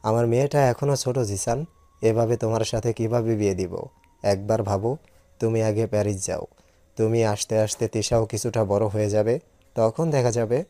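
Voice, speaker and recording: A man's voice: on the low side, steady tone, a little fast, mellow, no echo, close to an everyday voice. A man playing a worried middle-aged father in an audio story, reasoning and pleading. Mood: concerned, reasoning.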